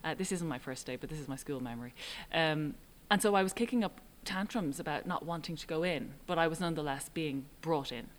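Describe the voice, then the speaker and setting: Low-voiced Irish woman, thoughtful. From Ireland. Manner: thoughtful, halting, with frequent ums and restarts. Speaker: writer and director, interview guest. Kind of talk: relaxed reflective interview answers.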